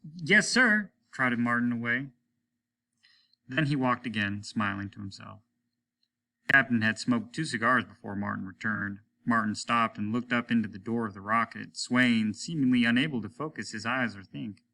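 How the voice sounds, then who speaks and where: A man narrates a science fiction story aloud in a middle-pitched voice. The tone is very bright and forceful, with the delivery of a teacher reading an audiobook. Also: fairly even intonation, normal speed, vocal fry.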